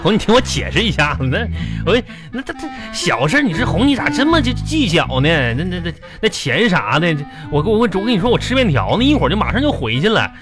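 A man's voice: high voice, sing-song, quick pace, hardly any pauses. A man playing a sheepish, flustered husband in a comedic radio drama, with a Northeastern Chinese accent and a stammering, protesting delivery. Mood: flustered, defensive. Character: flustered, sheepish husband hiding money, making excuses. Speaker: radio comedy host and actor. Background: music.

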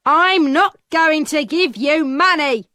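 A woman reading the part of a fat, grumpy middle-aged male peddler, slow and hostile. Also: high voice, sharp tone, dry recording, clean studio sound, pitched above the performer's own voice, exaggerated voice.

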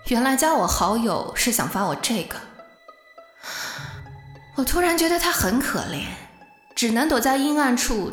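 A woman's voice: a little high, roomy sound, long reverb tail, natural voice. A woman playing a wary young office worker who narrates her ordeal uneasily and earnestly in a suspense audio drama. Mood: wary, pitying.

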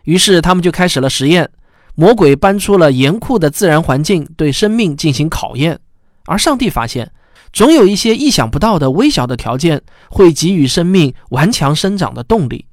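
A man's voice: fairly high pitch, lively intonation, moderate pace, no echo, clean studio sound. A man hosting a science podcast in a chatty, explanatory way. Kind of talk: podcast commentary, conversational.

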